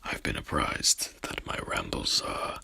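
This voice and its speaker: A man playing a courtly, haughty headless horseman in a whispered ASMR roleplay, speaking with pompous, archaic formality in a breathy, gravelly voice. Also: a little slow, bright, forceful tone. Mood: soothing, formal.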